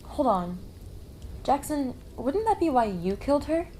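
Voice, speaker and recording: A woman's voice: high-pitched, sing-song, a little slow, mellow, a little hiss or hum. A woman playing a firm, probing amateur sleuth at a lodge in a puppet show. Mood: accusing. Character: firm, reflective female lodge worker turned sleuth.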